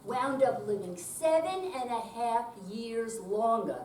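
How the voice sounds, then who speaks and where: A woman's voice: on the high side, animated, slow, darkish tone. An older woman giving a scripted readers theater performance on stage. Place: senior center room, live reading. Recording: echoey room, distant mic, clear noise.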